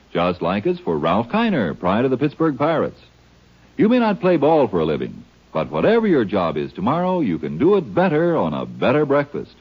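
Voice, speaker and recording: A man's voice: medium pitch, husky. A man doing folksy, emphatic sales patter as a commercial announcer on vintage radio. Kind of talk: vintage radio cereal advertisement. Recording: muffled old radio recording.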